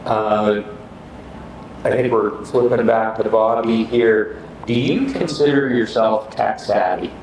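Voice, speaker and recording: A man's voice: lowish voice, slow pace, a little mellow. A man interviewing guests with brief, upbeat questions. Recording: roomy, distant, noisy.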